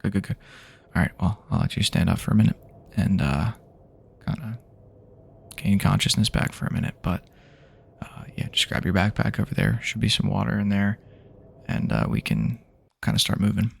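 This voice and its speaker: A man doing improvised ASMR roleplay as an easygoing survivor guiding a friend, speaking to the listener in halting, casual phrases. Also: on the low side, expressive, a little hiss or hum. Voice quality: husky, gravelly, soft-edged voice. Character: easygoing survivor guiding a friend through post-apocalyptic wasteland.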